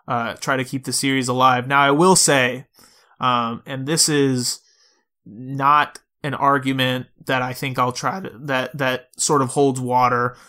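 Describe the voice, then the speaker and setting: A man's voice: medium pitch, pushed and bright. A man co-hosting a podcast, talking through video games in a conversational, reasoned way with asides. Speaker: podcast co-host. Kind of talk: casual video game discussion.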